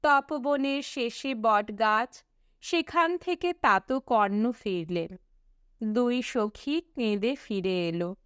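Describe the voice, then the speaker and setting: A woman reading a literary tale as an audiobook narrator, in a measured, expressive storytelling manner. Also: a little high, smooth, no room sound, clean studio sound. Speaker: audiobook reader.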